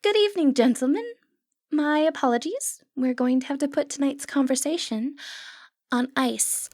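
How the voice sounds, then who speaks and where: A woman playing a sly, confident, flirty thief in a fantasy roleplay, playful and cheeky with breathy asides. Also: sits high, moderate pace, darkish tone, dry recording, lightly acted. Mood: cool, playful. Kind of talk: scripted fantasy ASMR roleplay, addressing the listener.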